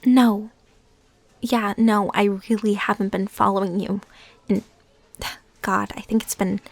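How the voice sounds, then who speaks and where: Intense, lovesick female ex-stalker, acted by a woman. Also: a little high. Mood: jarred, guarded. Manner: halting, pleading, emotionally intense, drops into whispers. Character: lovesick young female ex-stalker, defensive, bitter, unstable. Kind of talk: intimate dramatic audio roleplay.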